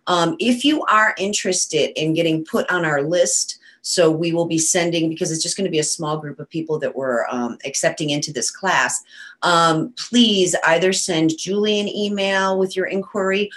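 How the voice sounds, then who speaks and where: Low-voiced woman with a stuffy cold. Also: moderate pace, a bit bright. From Western New York. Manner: chatty, loose, many ums and uhs. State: nasal from a cold. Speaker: psychic medium guest. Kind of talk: casual talk-show chat.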